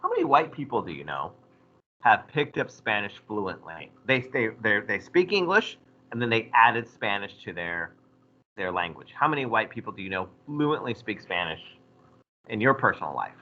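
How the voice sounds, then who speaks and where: A man teaching a history class, lecturing in a lively way and putting questions to his students. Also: lowish voice, normal speed, muffled recording. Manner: animated, asks the class questions.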